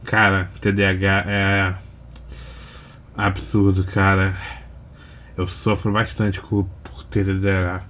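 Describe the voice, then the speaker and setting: A Brazilian man comments casually as a reaction host. His voice is low and fairly monotone, and he takes his time over the words. There is some noise in the recording. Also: takes it slowly, pauses often.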